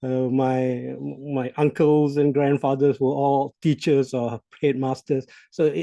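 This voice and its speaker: Mellow-voiced Malaysian man in his sixties. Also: mid-range voice, no echo. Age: 60s. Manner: reflective, with frequent hesitations and soft laughs. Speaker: CEO coach guest. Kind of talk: interview conversation.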